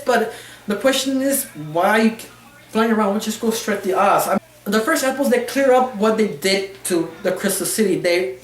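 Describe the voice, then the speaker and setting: Woman reviewer in an echoey room. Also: medium pitch. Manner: halting, rambling non-fluent English phrasing. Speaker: cartoon reviewer. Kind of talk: casual opinionated review commentary. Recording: roomy, echoey sound.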